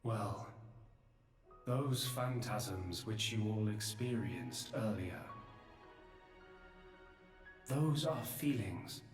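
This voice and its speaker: An actor playing a solemn, remorseful, godlike old creator in a scripted video game drama, speaking in measured, philosophical phrases. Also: male voice, fairly low pitch. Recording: roomy long reverb, distant, faint music.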